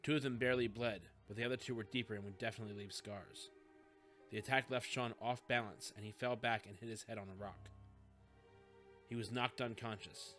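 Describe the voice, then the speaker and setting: A man narrates scripted fiction in a fairly low, clearly bright voice. He delivers it as an audiobook storyteller, with light music behind him. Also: fairly even intonation, fairly quick.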